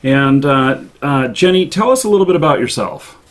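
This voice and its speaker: A man hosts a live online show in a casual, chatty way. His voice sits at a middle pitch. He speaks haltingly, feeling his way through each sentence. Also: expressive. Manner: halting, hesitant delivery. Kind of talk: casual livestream chat.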